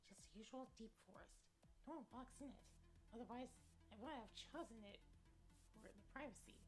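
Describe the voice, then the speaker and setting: A woman's voice: on the high side, normal speed, soft background music, close to an everyday voice. A woman voicing an anxious, self-mocking lost young male cat in a visual novel, narrating dramatically and fretfully. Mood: worried.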